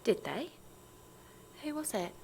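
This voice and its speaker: Woman, tired young mum, placating, coaxing, hesitant, animated pitch, audio drama, faint background noise. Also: takes it slowly, vocal fry, full character voice. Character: tired, placating young mother with quiet determination. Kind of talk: naturalistic radio drama acting.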